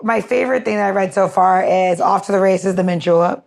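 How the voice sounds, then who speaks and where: Female podcast co-host, noticeable vocal fry, mid-pitched, informal and effusive, rambling chat. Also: a little fast, a little mellow. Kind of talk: casual chat, interview.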